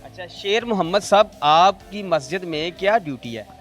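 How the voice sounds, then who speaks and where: A man's voice: high voice, animated, normal speed, a bit bright, soft background music. A man with a Pakistani accent interviewing as a news reporter on location, asking long, repetitive questions. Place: on location at a mosque.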